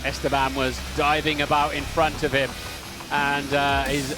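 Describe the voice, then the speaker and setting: A man's voice: medium pitch, monotone, measured pace, music in the background. A man giving conversational, somewhat hesitant motorsport commentary.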